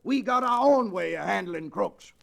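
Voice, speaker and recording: An actor playing an irascible, stubborn old cattle rancher with a blustering, folksy American Western manner, in old-time radio style. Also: male voice, sits high, moderate pace, gravelly, a bit bright, heavily acted. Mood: angry, confrontational. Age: older. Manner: blustering, folksy frontier talk. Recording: restored vintage radio recording.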